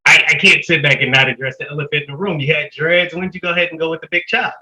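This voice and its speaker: A man hosting a casual interview, animated, acting out remembered back-and-forth with the guest. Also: a little high, rapid-fire, echoey room, rough recording. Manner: animated, acts out remembered exchanges. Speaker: interviewer, host.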